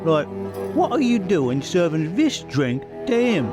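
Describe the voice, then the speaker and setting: A man's voice: high-pitched, sing-song, unhurried, music in the background, pitched above the performer's own voice. A man voicing a burly, surly marine who challenges people in a bar, for a tabletop roleplaying game. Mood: confrontational.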